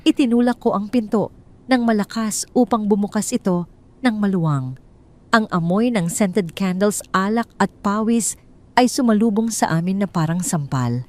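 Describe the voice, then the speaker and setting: A woman's voice: mid-range voice, normal speed, darkish tone. A woman narrating a first-person audio drama in a tense, dramatic storytelling manner.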